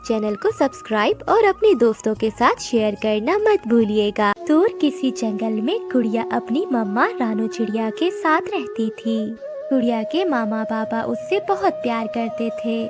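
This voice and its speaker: A woman narrating a scripted children's cartoon story, with music behind her voice. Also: on the high side.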